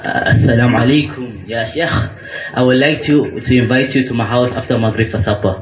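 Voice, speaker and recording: Guy doing a sneaky young fella, fumbling excuses, mid-pitched, echoey room with hiss behind. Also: brisk, natural voice. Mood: sheepish. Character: sly young man testing a holy man. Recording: echoey room with clear background noise.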